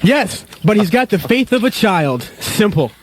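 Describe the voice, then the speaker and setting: A man's voice: high voice, very animated, a little slow, a little hiss or hum. A man playing a patient, solemn senior angel with an air of authority in a radio play.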